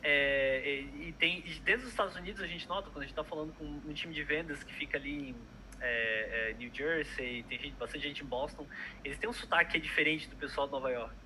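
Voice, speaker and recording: A man's voice: a little high, brisk, bright, forceful tone, slight background noise, rough recording. A young man, a product manager chatting casually in an interview, hesitant with many fillers and restarts.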